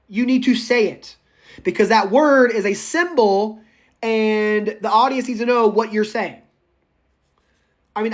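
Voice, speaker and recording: A raspy-voiced male public speaking instructor lecturing a live online class, speaking loudly without a microphone, chatty and prone to tangents. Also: high voice. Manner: speaks loudly, projecting as if shouting; enthusiastic, goes off on tangents and laughs. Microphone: no microphone, voice caught by the room recorder.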